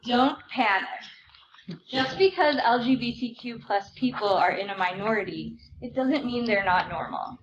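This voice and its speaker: A woman giving an expressive, emphatic dramatic reading of book excerpts at a live event. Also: on the high side, normal speed. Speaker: theater reader. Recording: dull recording with clear background noise.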